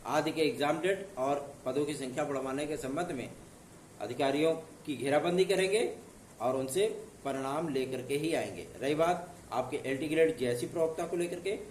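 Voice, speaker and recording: A hoarse man from northern India gives an earnest, reassuring exam-news update, often checking in with his listeners. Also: fairly high pitch, a little mellow, far from the mic, slight background noise. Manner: earnest, reassuring, with repeated tag questions. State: hoarse from a sore throat. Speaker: exam news channel host. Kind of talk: casual direct-to-camera update. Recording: echoey room, voice off-mic.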